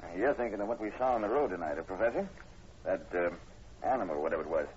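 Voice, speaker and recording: Tough cop sergeant, talks fast n low, bossy, suspicious, old 40s radio show, dull recording. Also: male voice, animated, a little mellow. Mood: urgent, guarded. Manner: clipped, commanding. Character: brisk, suspicious male police sergeant, tough and decisive. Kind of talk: 1940s radio horror acting. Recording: muffled, noisy 1940s radio recording.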